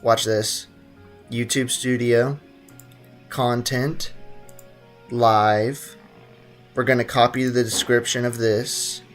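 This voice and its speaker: A man's voice: medium pitch, little pitch movement, takes it slowly, pauses often. Chatty young man streaming a game. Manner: chatty, greets chat, talks to himself while playing. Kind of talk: casual live-stream commentary. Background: faint game music.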